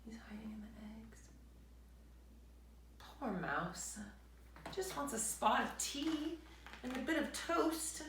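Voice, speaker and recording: A woman reads a children's story aloud at an unhurried pace. Her pitch swings widely as she leans on key words and stretches them out. The room gives her voice a noticeable echo. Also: animated, noisy background. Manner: dramatic emphasis, drawn-out words, hushed asides. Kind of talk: expressive children's story reading with asides to listeners. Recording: echoey room.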